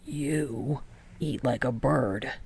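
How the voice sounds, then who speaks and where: Woman doing a low, curt, grouchy teenage boy. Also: very slow, gravelly, a little mellow, breathy edge, heavily acted. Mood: sardonic. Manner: terse, dry.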